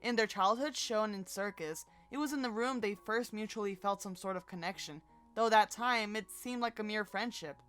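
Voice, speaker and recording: A woman of average pitch presents scripted commentary as a video co-host. She slips in casual asides, and quiet music runs underneath her voice. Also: moderate pace. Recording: music playing behind the voice. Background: music bed.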